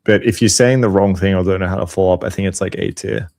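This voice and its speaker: A man's voice: deep voice, fairly even intonation, fast, a little mellow, no room sound, clean studio sound. A man co-hosting a casual chat, blunt and mocking, with many fillers like "like" and "um".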